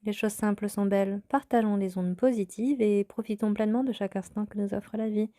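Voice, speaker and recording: Calm woman with a flat, mellow voice. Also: mid-range voice, brisk, dry recording, studio quality. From France. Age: 30s. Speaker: podcast host. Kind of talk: personal storytelling podcast.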